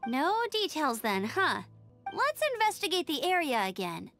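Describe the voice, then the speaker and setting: Perky young female game scout, high voice, take-charge, light music behind. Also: very animated, a little slow, a bit bright, full character voice. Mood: concerned, businesslike. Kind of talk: video game character acting.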